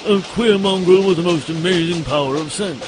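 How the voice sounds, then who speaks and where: A man's voice: high voice, fairly quick, noisy background, lightly acted. An actor playing an eager, easily distracted male sidekick in a comic radio play. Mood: eager interest.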